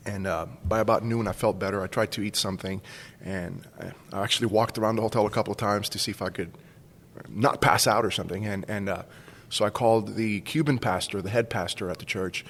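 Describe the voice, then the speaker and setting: A man's voice: fairly low pitch, steady tone, fairly quick, fairly bright tone, big-room sound, a little hiss or hum. A man in his forties from Cuba, casually telling a personal story as a podcast guest. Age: 40s. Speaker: school staff member, podcast guest.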